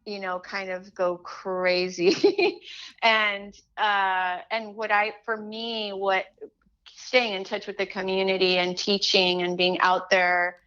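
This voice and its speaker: A woman's voice: mid-range voice, slow, clear, resonant tone. Chatty woman, slightly muffled. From Chile. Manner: animated, restarts often, many fillers. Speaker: singer, interview guest. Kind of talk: casual interview chat.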